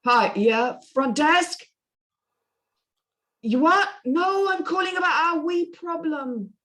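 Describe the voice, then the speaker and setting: A woman's voice: very high voice, expressive, slow pace, a bit bright, pitched above the performer's own voice, heavily acted. A woman voicing a drunk, irritable British male hotel guest blustering down the phone in a script reading. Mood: irritated, blustering. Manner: blustering, exasperated. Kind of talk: script table-read acting.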